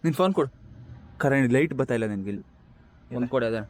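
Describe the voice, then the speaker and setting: A man's voice: medium pitch, lively intonation, very fast. A young man hosting a casual vlog, teasing and talking straight to his viewers. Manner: teasing, addressing viewers directly. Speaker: vlogger, host.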